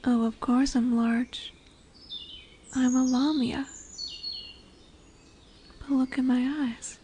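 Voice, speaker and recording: A woman's voice: sits high, flat delivery, takes it slowly, dark tone. A woman voicing a large lamia, a seductive serpent woman who is commanding yet soothing, in a formal, hypnotic, coaxing manner for a roleplay audio drama. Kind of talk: roleplay audio drama with soft whispered passages. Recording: noisy woodland ambience with birdsong. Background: birdsong and forest ambience.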